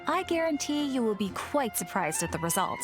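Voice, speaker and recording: An actress playing a cheerful, sly shopkeeper of rare curios in a video game, with welcoming, playful sales patter. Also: female voice, on the high side, sing-song, a little fast, over music. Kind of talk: video game character acting.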